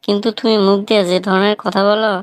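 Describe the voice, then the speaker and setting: A woman's voice: mid-range voice, level tone, fast, clear, resonant tone, no room sound, close to an everyday voice. A woman voicing a witty, defiant young village man in a comic cartoon, with a northern Bangladeshi accent and a wry, argumentative manner. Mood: mock flattering. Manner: argumentative, wry, rhetorical questioning.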